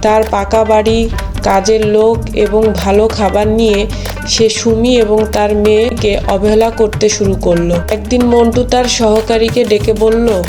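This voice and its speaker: A woman's voice: medium pitch, little pitch movement, measured pace, music in the background. A woman narrator reading a scripted story for a cartoon.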